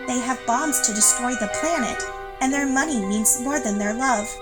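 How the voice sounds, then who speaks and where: A woman playing an earnest, dismayed alien underling in a satirical sci-fi audio play, with a deliberate, dramatic delivery. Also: a little high, natural voice. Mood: dismayed, grave. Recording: loud music behind the voice.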